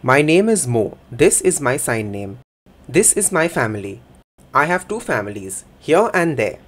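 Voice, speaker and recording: A man speaking a first-person voice-over interpretation at a middle pitch. His delivery is plain, even and measured, and he tells the account in short statements.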